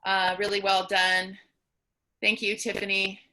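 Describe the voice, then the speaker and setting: A woman's voice: mid-range voice, level tone, a little slow, sharp tone, rough recording. A woman talking casually as a playwright and performer answering questions on a video call. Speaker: playwright and performer in online post-show Q&A. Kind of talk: casual Q&A talk.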